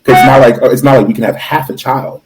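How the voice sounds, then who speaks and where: A man speaking as a podcast guest, earnest and measured, with a lot of casual fillers. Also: medium pitch, steady tone, music in the background. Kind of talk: casual chat.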